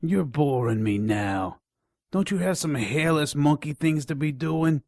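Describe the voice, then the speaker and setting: A man's voice: on the high side, a little slow, darkish tone, no room sound, clean studio sound. A man playing a crude, taunting nonhuman pawn shop dealer in an audio drama. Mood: taunting, ominous. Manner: taunting, contemptuous.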